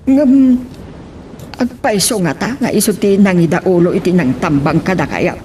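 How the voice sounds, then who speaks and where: A woman's voice: on the low side, moderate pace, mellow, lightly acted. Woman acting concerned older Ilocano auntie, noisy. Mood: worried. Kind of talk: Ilocano radio drama acting. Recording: noisy radio drama recording.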